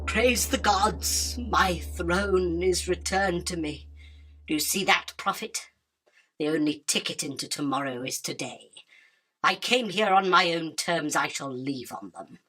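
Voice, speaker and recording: A woman's voice: on the low side, unhurried, fairly bright tone, breathy edge. A woman playing a haughty, imperious spider queen in a radio drama, declaiming in a grand, self-important manner. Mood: triumphant. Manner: pompous, grandiose, theatrical declaiming. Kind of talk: illustrated radio drama acting.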